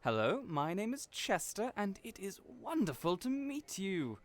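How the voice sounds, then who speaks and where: A flamboyant hairstylist, put on by a man for an audio roleplay, with a voice pitched noticeably higher than the performer's own. His delivery swoops up and down, and he is fussy and playful, always teasing. He fusses over his client like a doting parent. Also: very animated, unhurried.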